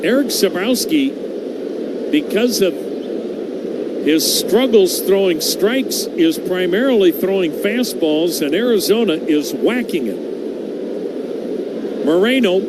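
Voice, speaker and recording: A man calling baseball play-by-play for radio in measured, descriptive, short clipped phrases. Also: high-pitched. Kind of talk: live radio sports commentary. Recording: very noisy live broadcast.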